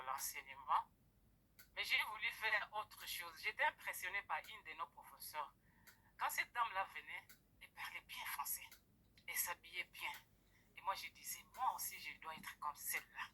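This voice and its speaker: A middle-aged woman from the Democratic Republic of the Congo tells an audience her story. She is hesitant and pauses often. Her voice is bright and a little husky, and it comes through a thin, phone-like recording. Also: on the low side. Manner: hesitant, with many pauses and fillers. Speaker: guest speaker. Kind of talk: personal testimony to an audience.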